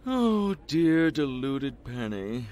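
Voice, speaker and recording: A man's voice: mid-range voice, big pitch swings, takes it slowly, a little mellow. A man playing a pompous superhero, swooping delivery. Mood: condescending. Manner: pompous, theatrical. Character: pompous, vain, rich male superhero hogging the credit. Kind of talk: audio comedy acting.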